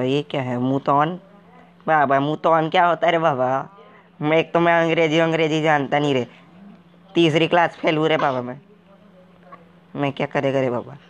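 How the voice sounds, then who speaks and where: A man's voice: a little high, natural voice. A man putting on a bumbling talking game wanderer. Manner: ends nearly every line with a drawn-out "re baba" catchphrase, jumpy and naive. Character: clueless, excitable uneducated man wandering a game. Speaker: gaming video character. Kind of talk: comic game commentary in character.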